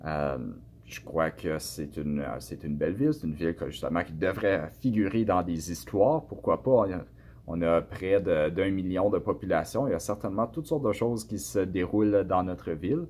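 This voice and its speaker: A man from Canada with a Canadian French accent, answering students' questions about his book in a relaxed, hesitant way with many fillers and restarts. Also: deep voice, moderate pace, a little hiss or hum. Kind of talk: casual spoken answers to a class.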